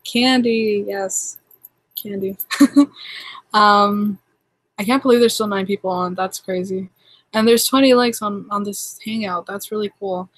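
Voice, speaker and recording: A woman's voice: mid-range voice, fairly even intonation, measured pace, dry recording, low-quality recording. A young woman from Toronto with a Canadian accent, casually chatting on a livestream, rambling with lots of fillers and restarts. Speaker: livestream host.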